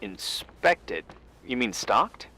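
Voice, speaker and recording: A man's voice: mid-range voice, very animated, very slow, sharp tone, full character voice. An actor playing a good-natured, eager American man in a video game, hesitant and stammering as he helps out. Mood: helpful, reassuring. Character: helpful, awkward, eager man on the other end of phone.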